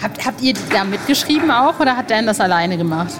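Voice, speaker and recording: Against clearly noisy surroundings, a middle-aged woman leads an informal interview. Her voice sits a bit high, and she talks in slangy, enthusiastic phrases like a relaxed podcast host. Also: brisk. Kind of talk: casual, informal interview chat.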